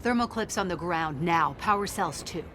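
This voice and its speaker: A menacing alien villainess from a sci-fi game, with a fairly low voice. She is cold, cruel and taunting, set against clearly noisy ambience. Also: lively intonation, normal speed, a bit bright. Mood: cruelly taunting. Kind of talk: cinematic video game voice acting.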